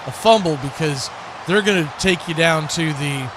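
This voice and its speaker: High-pitched American man calling football play-by-play. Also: moderate pace, noisy background. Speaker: play-by-play sportscaster. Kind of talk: live American football commentary.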